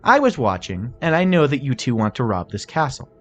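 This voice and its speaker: A fierce young unicorn mare student, acted by a man in a storybook reading. The voice is lifted higher, firm and indignant, with a quick temper ready to defend. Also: big pitch swings, a bit bright. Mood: stern.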